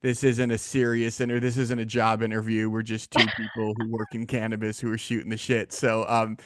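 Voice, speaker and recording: A man's voice: lowish voice, monotone, a little fast, a bit bright, dry recording. Casual male podcast host, dry close sound. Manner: informal, joking, slangy. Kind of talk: casual interview chat.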